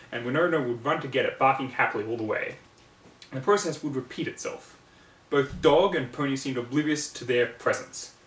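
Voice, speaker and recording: A man's voice: medium pitch, a bit bright, slight background noise. A man reading fan fiction aloud at home in an amateur storybook narration style. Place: room at home. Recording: roomy, distant mic, home recording.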